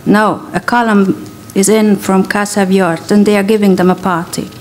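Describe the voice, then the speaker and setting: A woman with a Hungarian accent reading the lines of an officer's lively, talkative wife in a story. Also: medium pitch, normal speed, slight background noise, natural voice. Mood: chatty.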